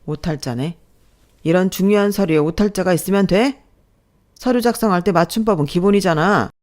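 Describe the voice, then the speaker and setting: A man's voice: on the high side, expressive, normal speed. A woman voicing an abusive male department manager in a story, barking sarcastically and belittling an employee. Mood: scolding, irritated. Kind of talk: dramatized audio story dialogue.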